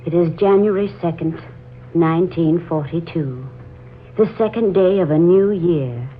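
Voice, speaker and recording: A woman's voice: on the low side, a little slow, close to an everyday voice. An actress playing an earnest young woman with a dramatic manner in a 1940s radio drama. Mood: solemn, haunted. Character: Laura Cabot, earnest young woman telling an uncanny tale. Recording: muffled, noisy 1940s radio recording.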